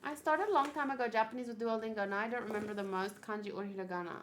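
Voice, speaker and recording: A woman's voice: a little high, fairly even intonation, rapid-fire, slight background noise. A thirty-year-old woman streamer chatting casually in a gushing, cutesy, rambling way. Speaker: streamer, host of a gaming channel. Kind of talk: casual livestream chat while unboxing plush gifts.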